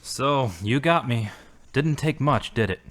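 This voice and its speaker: A man's voice: medium pitch, fairly bright tone, lightly acted. Man acting a humbled, earnest man at prayer. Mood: humbled, resolute. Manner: deliberate, earnest, confessional. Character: weary man praying, humbled, earnest, newly devout. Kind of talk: audio drama monologue.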